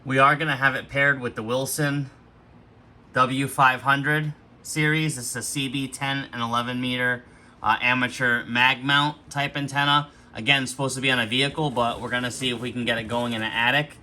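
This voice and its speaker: Bright, forceful-voiced man demonstrating gear. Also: mid-range voice, level tone, normal speed. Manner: explanatory, step-by-step instruction. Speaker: host of a gear demonstration video. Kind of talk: unscripted product walkthrough.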